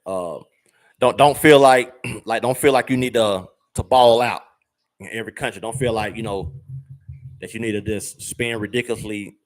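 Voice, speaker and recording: A man's voice: fairly low pitch, moderate pace. A man giving viewers casual travel advice, rambling with fillers and repeated words and using slang. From United States. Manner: uses slang, addresses viewers as fam and y'all. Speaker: travel vlogger.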